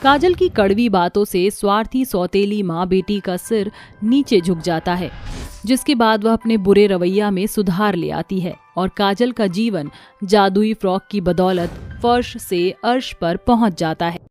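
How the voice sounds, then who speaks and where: A woman's voice: mid-range voice, unhurried, darkish tone, soft background music. A woman reading scripted storybook narration as the narrator of a moral tale.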